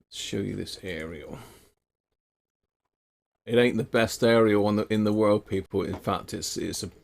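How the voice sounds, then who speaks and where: Gravelly, low-voiced man chatting. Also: unhurried. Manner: chatty, self-mocking, laughs at own mistakes. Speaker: radio hobby livestream host. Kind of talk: casual live-stream chat.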